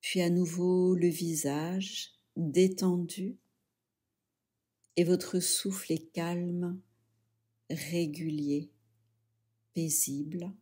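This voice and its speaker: A woman leading a guided yoga relaxation, speaking softly and soothingly in short phrases with pauses. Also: fairly low pitch, steady tone, breathy edge. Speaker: yoga nidra instructor.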